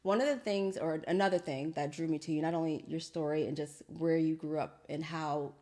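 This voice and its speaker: A woman hosting a podcast, chatting casually and affirmingly, with lively colloquial phrasing. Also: deep voice, a little fast. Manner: lively, colloquial, laughs often, affirming fillers. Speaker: podcast host. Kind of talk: casual interview chat.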